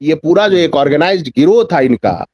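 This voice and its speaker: A man with a high, lively voice that rises and falls widely, recorded dry and close. He speaks as a political pundit on a news discussion, driving points home with emphatic repetition. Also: brisk, no room sound, lo-fi sound. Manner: emphatic, repeats phrases for rhetorical effect. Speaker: political commentator, interview guest.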